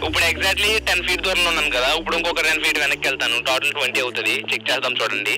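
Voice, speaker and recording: A man's voice: a little high, fairly even intonation, racing, poor audio. A man casually presenting a test outdoors, his voice coming through a walkie-talkie radio. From India. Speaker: video presenter. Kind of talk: casual range-test demo. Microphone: walkie-talkie picked up by camera. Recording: walkie-talkie transmission, loud music.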